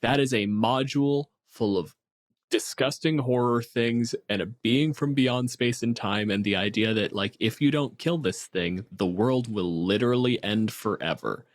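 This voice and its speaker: Guy with an average-pitch voice chatting tabletop games on a podcast, explains stuff animatedly, swears a lot. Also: normal speed. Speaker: podcast co-host. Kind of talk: casual tabletop game discussion.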